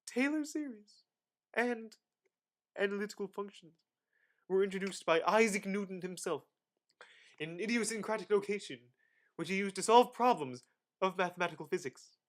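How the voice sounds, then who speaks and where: A man's voice: sits high, lively intonation, moderate pace, long pauses. A young man giving a dramatic reading of an encyclopedia article, with theatrical, exaggerated emphasis. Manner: theatrical, exaggerated emphasis with sudden loud and hushed phrases. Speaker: student reader.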